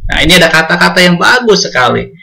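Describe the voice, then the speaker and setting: Indonesian guy preaching a buddhist sermon, kinda high voice, keeps talking to the congregation. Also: big pitch swings, vocal fry, sharp tone, faint music behind. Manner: addresses congregation often, sings hymn lines slowly.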